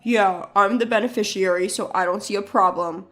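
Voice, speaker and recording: A woman's voice: mid-range voice, steady tone, normal speed, fairly bright tone, voice pushed down, lightly acted. Woman voicing a brash, selfish husband over music. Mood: smug, boastful. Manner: brash, defensive, boastful. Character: arrogant, selfish, irritable husband caught deceiving his wife.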